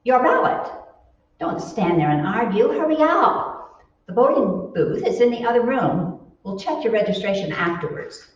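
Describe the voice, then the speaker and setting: A woman's voice: medium pitch, very animated, moderate pace, a little hiss or hum, lightly acted. A woman playing a sensible, affectionate wife in a 1940s radio comedy, with a theatrical, coaxing delivery. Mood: bossy. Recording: echoey hall, distant mic, muffled.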